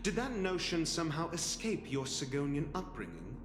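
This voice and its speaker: A man's voice: on the high side, very animated, normal speed. An actor playing a stern, haughty, distrustful man who speaks curtly, formally and condescendingly in a video game. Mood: curt disdain. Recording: echoey hall reverb, music behind.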